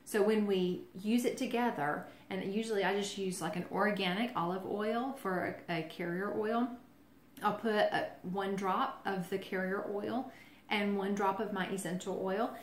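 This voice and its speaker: A woman's voice: mid-range voice, fairly even intonation, normal speed, reverberant, a little hiss or hum. Chatty woman explaining essential oils. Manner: chatty, friendly, filler-heavy explaining. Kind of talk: casual how-to talk.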